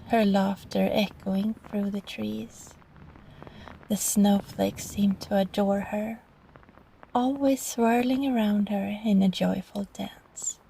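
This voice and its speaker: A woman reading a bedtime story softly and breathily in a gentle, soothing ASMR manner, often close to a whisper. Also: mid-range voice, gravelly, background noise. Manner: gentle, slow, soothing delivery. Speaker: storyteller, voice actress. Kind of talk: soft bedtime story reading, sleep-aid ASMR roleplay.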